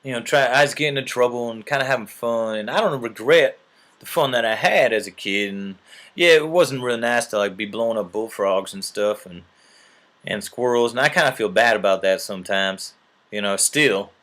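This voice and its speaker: A man with a medium-pitched voice spins a long, earnest tale. He rambles on in a mock-serious tone and keeps checking in with the listener. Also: moderate pace, a bit bright. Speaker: storyteller.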